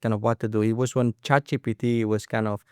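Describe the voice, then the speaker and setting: A man's voice: lowish voice, level tone, fast, clear, resonant tone, dry recording, studio quality. A man co-hosting a podcast as the company's CEO, chatting casually with many fillers and restarts. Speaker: co-founder and CEO, podcast host. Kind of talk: casual conversational podcast chat.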